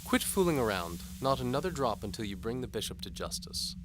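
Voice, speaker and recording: A man's voice: medium pitch, very animated, a little fast, noisy background, pitched above the performer's own voice, natural voice. A man voicing a seductive, haughty noblewoman in an audio drama, coy and imperious.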